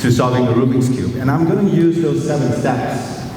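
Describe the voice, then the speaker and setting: Man, Chinese accent, earnest and self-deprecating, long echo, distant mic. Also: medium pitch. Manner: earnest, gently self-deprecating, many pauses and fillers. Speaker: teacher giving a graduation speech. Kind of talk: inspirational speech to students. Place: large school assembly hall. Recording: echoey hall from far off with noise.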